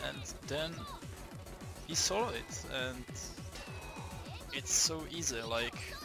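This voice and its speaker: A young man from the Czech Republic with a Czech accent, giving a casual, halting gaming tutorial, swearing often and restarting his sentences. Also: medium pitch, lively intonation, creaky, over music. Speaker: gaming video maker.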